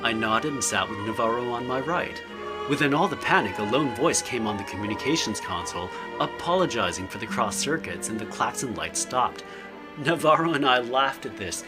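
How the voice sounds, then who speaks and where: Good-humored starship captain, male actor, mid-pitched, spinning a yarn, audio drama with prominent music. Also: expressive, moderate pace, natural voice. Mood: wry storytelling. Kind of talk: audio drama acting, storytelling. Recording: music bed under the voice.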